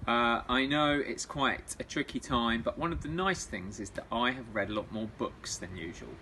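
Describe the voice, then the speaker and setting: A man reading a children's storybook aloud as a storytime reader, in an expressive way. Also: mid-range voice, moderate pace, noisy background.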